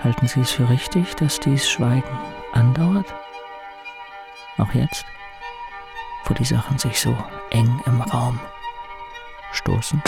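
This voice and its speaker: An actor playing an earnest, formal man composing a love letter in a radio drama, creaky-voiced, pensive and deliberate. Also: mid-range voice. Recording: violin music behind the voice. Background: violin music.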